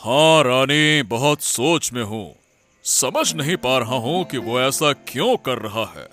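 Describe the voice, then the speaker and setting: A man playing a troubled, suspicious king in a cartoon story, speaking in a measured, stately manner. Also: medium pitch, lively intonation, pushed and bright. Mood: worried. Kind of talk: Hindi cartoon story dialogue.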